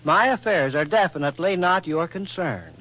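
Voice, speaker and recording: A starchy, self-important official in an old radio play. His voice is rather high and very formal, heard through a dull 1940s recording. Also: animated, normal speed. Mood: stiff, formal. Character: stiff, formal, self-important government man. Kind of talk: 1940s radio drama acting.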